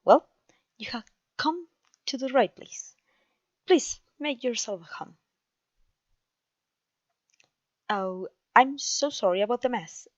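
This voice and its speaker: A woman's voice: fairly high pitch, expressive, measured pace, dry recording, lo-fi sound. A woman with a Mexican accent playing a clumsy, cheerful witch teacher in an ASMR roleplay, theatrical and genteel in her encouragement. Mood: welcoming, instructive. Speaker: voice actor.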